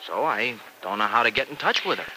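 A man's voice: medium pitch, animated, fairly quick, pushed and bright, rough recording. An American actor playing an earnest, teasing young farm man in a mid-century radio drama, with folksy, slangy speech. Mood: explaining. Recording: old radio recording with faint hiss.

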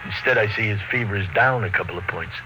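An actor playing a big, brawling, exhausted expedition crewman, gruff and slangy, in a classic radio drama. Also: on the low side, fast talker, music in the background, natural voice. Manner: rough, slangy. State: worn out. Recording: noisy old radio recording.

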